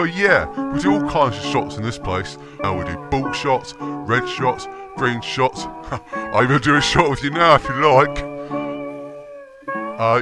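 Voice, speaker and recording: A man plays an eager tiki bar barman in a parody advert. He is chatty and suggestive, with a medium-pitched voice. Plucked guitar music is prominent behind him. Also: natural voice.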